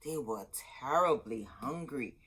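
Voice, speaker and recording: A woman reading a children's story aloud as host, expressive and dramatic, drawing out words for emphasis. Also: extremely low pitch, a little slow, breathy edge.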